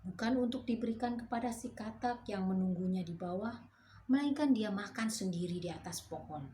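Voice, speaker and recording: A woman narrating a children's fairy tale in a slow, clear storybook manner. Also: mid-range voice, warm tone, slight background noise.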